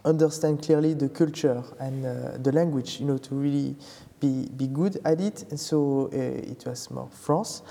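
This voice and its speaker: A man from Belgium answers interview questions haltingly, pausing often and circling back on his words. His voice is very dark and mellow, with a long reverb from the room. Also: mid-range voice, gravelly. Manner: hesitant, many fillers, halting phrasing. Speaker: guest, brand founder. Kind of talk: casual interview. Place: fashion atelier.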